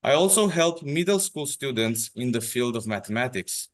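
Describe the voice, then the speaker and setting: Young man, romanian accent, webinar host, careful measured reading, mid pitch. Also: expressive. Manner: careful and measured, a little tense. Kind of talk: reading a prepared introduction.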